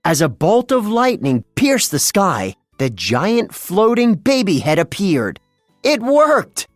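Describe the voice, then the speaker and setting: A narrator reading a children's story aloud in a scripted, dramatic and deliberate way. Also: mid-range voice, big pitch swings, no room sound, studio quality, soft background music.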